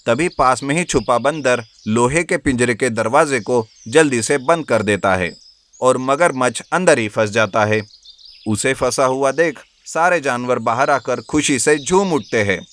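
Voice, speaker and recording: A male storyteller narrates a scripted fable for children. His pitch is average for a man. The delivery is that of read-aloud storytelling. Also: a little slow, a bit bright.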